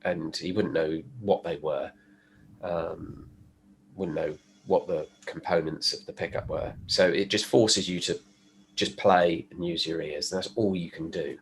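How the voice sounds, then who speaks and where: A low-voiced man, nasal and stuffed up from a cold, talks through his design process in an easygoing, rambling way. A faint hiss sits behind him. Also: unhurried, vocal fry. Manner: relaxed, explanatory, with fillers and restarts. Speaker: guest, pickup designer. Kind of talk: casual podcast conversation.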